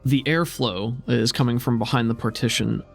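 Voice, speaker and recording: A man's voice: mid-range voice, normal speed, gravelly, faint music behind. A man acting as game master, improvising descriptive narration for a tabletop game and explaining scenes as he goes.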